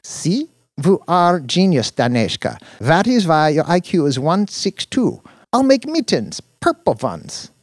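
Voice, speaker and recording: A man's voice: on the high side, very animated, a little slow, no room sound, studio quality, pitched above the performer's own voice. A man voicing a tiny, doting female holographic cheerleader, gushing in broken English in an audiobook. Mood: bubbly, adoring.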